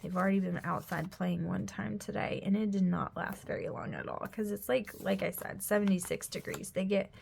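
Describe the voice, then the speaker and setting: A woman's voice: mid-range voice, fairly even intonation, a little fast, vocal fry, hardly any pauses, darkish tone. A woman, chatting casually at home while she decorates a planner; she is sniffly, her throat is scratchy, and she rambles with lots of "like" and often drops to a whisper. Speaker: planner vlogger, mother. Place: home room.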